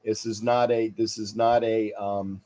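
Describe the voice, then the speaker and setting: A man's voice: on the low side, level tone, a little slow, warm tone. Congested man rambling on a livestream. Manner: rambling, repeats himself, restarts sentences; chuckles to himself. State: congested, stuffy nose. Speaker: sports talk show host. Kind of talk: casual livestream chat with viewers.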